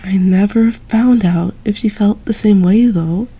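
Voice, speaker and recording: A woman voicing a grown former knight who mentors a younger friend, gentle and reflective, in a fan audio drama. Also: medium pitch, unhurried, breathy edge. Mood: wistful. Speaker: voice actor.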